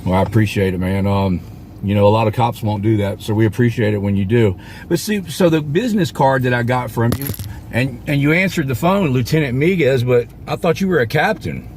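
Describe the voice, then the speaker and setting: A man's voice: medium pitch, lively intonation, fairly quick, a little mellow, a little hiss or hum. An American man talking casually and directly, putting pointed questions as he goes. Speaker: citizen police auditor and channel host. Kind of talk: casual confrontational street talk and phone chat.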